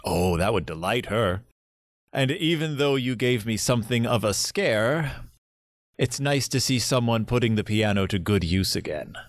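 An actor in an audio drama playing a courteous, lonely grandfather in his seventies, speaking gently and reflectively. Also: medium pitch, lively intonation, normal speed, no echo, clean studio sound, lightly acted. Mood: gentle, courteous.

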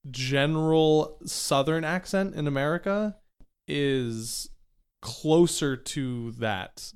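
A man's voice: on the high side, takes it slowly, clean tone. A man co-hosting a casual podcast, musing loosely through half-remembered theories and tossing in jokes. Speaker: podcast co-host. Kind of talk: casual chat.

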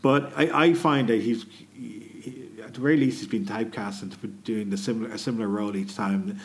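A man's voice: on the low side, steady tone, fairly quick. A man co-hosting a casual podcast chat, talking loosely with frequent restarts and fillers. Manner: chatty, hesitant with frequent restarts. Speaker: podcast co-host.

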